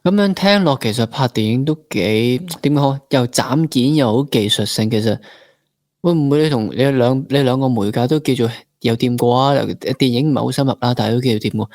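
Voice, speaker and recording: A man's voice: medium pitch, fairly quick, darkish tone, no room sound, clean studio sound. A man with a Hong Kong Cantonese accent interviewing casually on a podcast, thinking aloud in colloquial speech. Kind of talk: casual chat.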